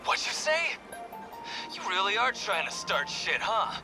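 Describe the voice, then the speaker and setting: A man's voice: high voice, sing-song, measured pace, music in the background. A man playing a hot-headed young delinquent mech pilot in a game dub, with brash, coarse, slangy delivery. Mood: angry, challenging. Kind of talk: English game dub voice acting.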